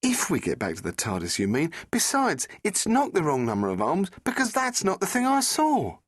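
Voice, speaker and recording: A man's voice: fairly high pitch, huge pitch swings, normal speed, dry recording, studio quality, pitched above the performer's own voice. A man voicing a feisty young woman, a cheeky adventuring companion in an audiobook, with an exclamatory delivery. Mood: cheeky, exasperated.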